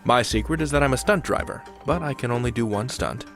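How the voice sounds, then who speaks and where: A man's voice: medium pitch, fairly quick. A man hosting a podcast, reading a scripted series introduction. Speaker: host. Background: music.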